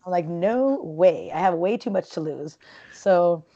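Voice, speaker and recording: A woman's voice: lowish voice, animated, measured pace, dark tone, muffled recording. A woman from Peru in her thirties, talking casually as an interview guest, chatty and rambling with many fillers and restarts.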